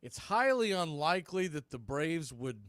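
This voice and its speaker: A man's voice: on the high side, sing-song, normal speed, fairly bright tone, no echo, studio quality. A man doing live radio baseball play-by-play, with punchy clipped calls and conversational asides.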